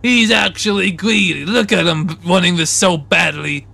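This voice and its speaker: A high, bright, creaky voice from a man plays a comic personification of envy for a game dub. He is accusing and theatrical. His phrases come out halting and improvised. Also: full character voice. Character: dramatic, accusing male sin of envy in comedy dub.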